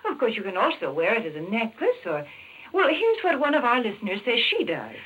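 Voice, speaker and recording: A woman giving a prim, earnest sponsor pitch as co-host on a 1940s radio show. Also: wildly swooping pitch, a little fast, breathy edge. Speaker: commercial announcer and co-host. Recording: phone-like old radio recording.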